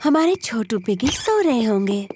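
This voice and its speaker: A woman voicing a brisk mother pig in a children's cartoon, playfully scolding and teasing. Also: fairly high pitch, big pitch swings, background noise. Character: brisk, teasing mummy pig, adult female. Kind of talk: children's cartoon dub in Hindi.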